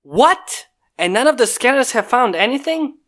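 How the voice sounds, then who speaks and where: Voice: medium pitch, expressive, normal speed, fairly bright tone, reverberant, pitched above the performer's own voice, close to an everyday voice. A reader voicing a brooding human inventor who gives dry orders and mutters wry asides to himself. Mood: tense.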